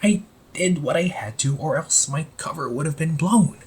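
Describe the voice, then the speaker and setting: A man playing an anxious, wry young supervillain in a casual audio roleplay, talking informally with exasperated sighs and halting phrases. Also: fairly high pitch, expressive, darkish tone, close to an everyday voice. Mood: defensive, pleading. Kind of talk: amateur audio roleplay, casual conversational acting.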